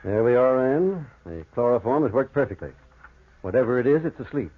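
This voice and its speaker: Husky, grave doctor played by a man, old radio. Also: medium pitch, animated, close to an everyday voice. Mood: grave, controlled. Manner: formal, deliberate, dramatic. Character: grave, authoritative doctor recounting a horror. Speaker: actor and narrator. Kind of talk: 1940s radio acting. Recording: muffled, phone-like old radio recording.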